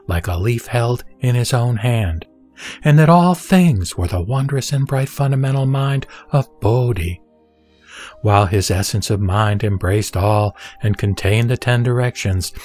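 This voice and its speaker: A man recites sacred Buddhist text in a mid-pitched voice. His delivery is formal and deliberate, like solemn scripted narration, with music playing behind him. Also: darkish tone.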